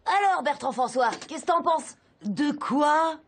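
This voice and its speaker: A woman's voice: sits high, expressive, a little slow, noisy background. A woman voicing a cheeky, upbeat schoolboy who leads his friends' schemes in a French-dubbed cartoon. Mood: scheming, upbeat.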